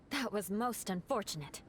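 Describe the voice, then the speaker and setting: A woman playing a composed, serious, protective young knight in a video game, speaking in a formal, measured way. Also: medium pitch, very noisy. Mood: resigned. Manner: formal, measured, precise wording.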